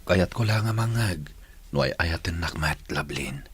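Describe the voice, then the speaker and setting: A man's voice: low-pitched, expressive, unhurried, fairly bright tone, a little hiss or hum. A man with an Ilocano accent acting a repentant husband and father in a radio drama, earnest and pleading in manner. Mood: tender, pleading.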